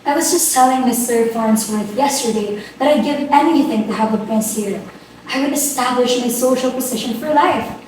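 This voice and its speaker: A woman's voice: fairly high pitch, moderate pace, darkish tone, lightly acted. A woman playing a fussy, flustered, status-hungry middle-aged society hostess in an amateur play reading, theatrical and bossy. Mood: yearning, dramatic. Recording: very echoey room, distant mic, hiss.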